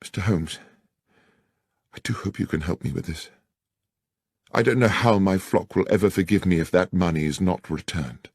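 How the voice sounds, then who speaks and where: A man's voice: sits low, moderate pace, pauses often, darkish tone, slightly rough, no room sound, clean studio sound, pitched below the performer's own voice. A man voicing an earnest, anxious young clergyman in an audiobook, speaking formally and with care. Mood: anxious, confiding. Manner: formal, careful, wordy. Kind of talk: dramatized audiobook character dialogue.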